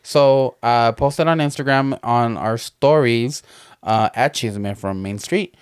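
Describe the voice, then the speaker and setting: A man in his fifties co-hosting a casual podcast chat, relaxed and playful, with frequent short agreements. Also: medium pitch, takes it slowly, smooth, dry recording, clean studio sound. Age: 50s. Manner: relaxed, playful, backchannels a lot. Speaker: podcast co-host.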